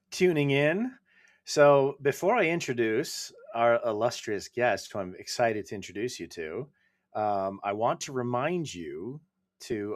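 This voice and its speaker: A man speaks as a podcast host in a casual, polite, conversational style. His voice sits at a middle pitch, and the sound is dry with very little room in it. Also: no room sound. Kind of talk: casual podcast introduction and interview.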